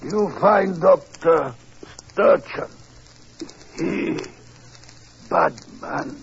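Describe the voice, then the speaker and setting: An actor playing a weak, dying man from an opium den, gasping in halting broken English in a 1940s radio play. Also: high-pitched, heavily acted. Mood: weak, pleading. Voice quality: croaky, gasping. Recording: dull old 1940s radio recording.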